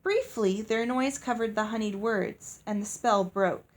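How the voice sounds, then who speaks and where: A woman's voice: medium pitch, a little slow. A woman reading a story aloud as narrator. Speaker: audiobook reader.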